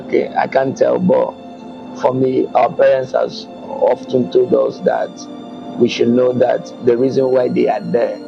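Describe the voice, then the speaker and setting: A male prophet from Gabon answering interview questions in a measured way, pausing often with ums. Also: mid-range voice, flat delivery, creaky, highs cut off, music in the background. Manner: measured, hesitant with many ums.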